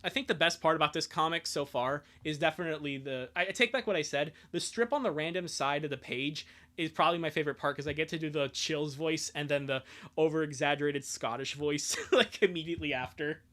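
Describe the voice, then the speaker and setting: Bright, higher-voiced man streaming sarcastically. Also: fairly quick. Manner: sarcastic, exasperated, sweary. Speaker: streamer and host of a live reading. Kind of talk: casual live-stream commentary and dramatic comic reading.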